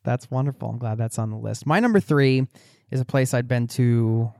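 A man's voice: normal speed, vocal fry, mellow, no echo. Earnest, rambling man, dry close sound. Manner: earnest, rambling, upbeat, with rises in pitch. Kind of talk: casual chat.

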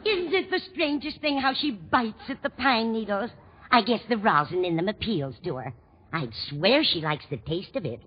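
A woman's voice: mid-range voice, expressive, moderate pace, natural voice. An actress playing a sweet, absent-minded older landlady in a 1940s radio comedy, chatty, fond and dithering. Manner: dithering, trails off mid-thought. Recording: dull noisy 1940s radio recording, studio audience. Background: studio audience.

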